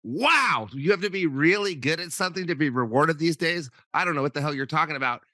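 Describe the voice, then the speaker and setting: An upbeat man from the United States hosts a podcast interview, joking and talking in quick bursts. His voice is bright and forceful and a little higher than most men's. The sound is dry and close to the mic. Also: expressive, no room sound. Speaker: podcast host.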